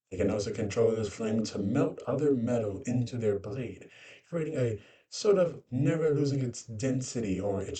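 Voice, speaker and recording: A man narrating an informal fantasy creature guide in halting, loosely phrased explanations. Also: lowish voice, dark tone, recorded from a distance, rough recording.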